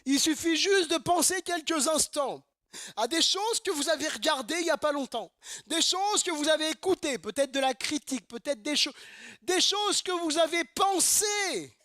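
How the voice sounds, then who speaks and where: A man's voice: very high voice, lively intonation, normal speed, pushed and bright, no echo, clean studio sound. A man from Normandy preaching a sermon, emphatic and repetitive, asking the congregation rhetorical questions. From Normandy, France. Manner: emphatic, repeats phrases, asks rhetorical questions. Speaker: pastor. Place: church service.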